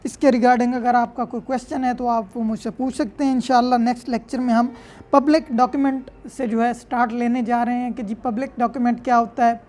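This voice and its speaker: A woman's voice: fairly high pitch, flat delivery, fairly quick, a little mellow. A woman with a Pakistani accent giving an explanatory law lecture in a didactic way, with frequent check-in tags. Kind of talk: explanatory lecture, reading out and paraphrasing legal text.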